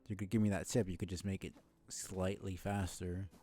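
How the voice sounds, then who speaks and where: A man's voice: sits low, flat delivery, brisk, dark tone, faint music behind. A man streaming a video game, giving casual, understated commentary as if muttering to himself. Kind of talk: casual live gameplay commentary.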